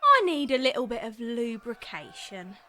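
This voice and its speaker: An actress playing a cheeky, defiant young Victorian tavern singer in a period drama, bold and teasing. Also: female voice, fairly high pitch, big pitch swings, normal speed, pushed and bright. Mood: saucy. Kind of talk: theatrical period drama acting.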